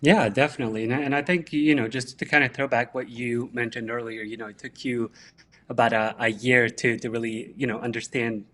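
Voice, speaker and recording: A man from Bosnia, a guest on an interview show, explaining technical ideas in a steady, conversational way. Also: medium pitch, a little fast. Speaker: guest, tech director.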